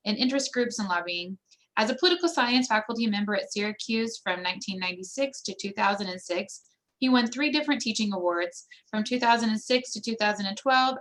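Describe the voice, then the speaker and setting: A woman hosting a conference reads out a guest speaker's biography. Her delivery is formal and even, with occasional ums, over a video call. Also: mid-range voice, low-quality recording. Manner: reads a bio, with some ums. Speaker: conference host.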